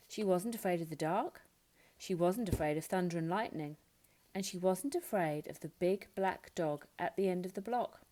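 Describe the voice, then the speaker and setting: A woman narrating a children's picture book in an expressive read-aloud style. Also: on the low side. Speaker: storybook narrator. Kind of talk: expressive children's story reading.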